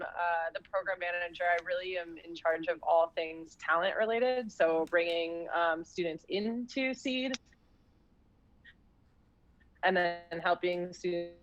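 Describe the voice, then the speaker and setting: A woman's voice: fairly low pitch, lo-fi sound. A woman introducing herself as a program manager in easygoing panel chat.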